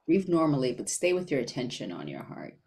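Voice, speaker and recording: A woman leading a guided meditation in a gentle, unhurried manner with long pauses. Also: rumbling low, sing-song, a little hiss or hum.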